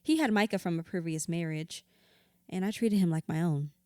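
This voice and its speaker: Actress as a hurt, bitter widow, dry close recording. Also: female voice, fairly low pitch, normal speed, a little mellow, dry recording, lightly acted. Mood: hurt, indignant. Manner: raw, blunt, sweary, emotionally volatile. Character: hurt, bitter grown widow confiding to her therapist. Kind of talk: audio drama acting, confessional dialogue.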